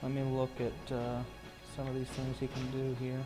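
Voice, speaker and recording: A man's voice: medium pitch, monotone, normal speed, music in the background. A man acting as game master, casually narrating a tabletop roleplaying game and talking informally and hesitantly as he checks the rules.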